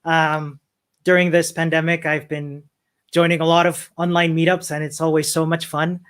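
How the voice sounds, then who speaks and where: A man's voice: a little high, level tone, moderate pace, a bit bright, clear, resonant tone. Friendly male speaker from Chile, upbeat presenter at an online tech meetup, easygoing with fillers. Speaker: conference speaker.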